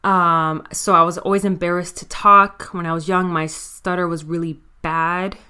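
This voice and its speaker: Bright, clear-voiced young woman podcaster. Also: lowish voice, little pitch movement, normal speed. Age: 20s. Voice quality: stutter. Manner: candid, confiding, talks straight to listeners. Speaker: podcast host. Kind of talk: casual personal monologue.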